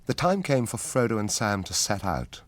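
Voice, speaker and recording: A man narrating a radio drama in calm, even, scripted storytelling. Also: lowish voice, moderate pace.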